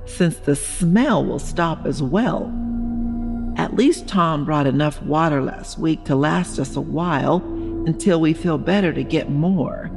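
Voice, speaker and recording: A woman playing an elderly wife in a horror audio story, reading her worried, genteel journal in a measured, wistful manner. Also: deep voice, vocal fry, heavily acted.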